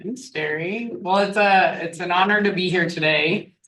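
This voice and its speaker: A woman with a loud voice moderating an academic panel, introducing speakers with frequent ums. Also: lowish voice, moderate pace, roomy sound. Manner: loud, upbeat, with frequent fillers. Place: university seminar room.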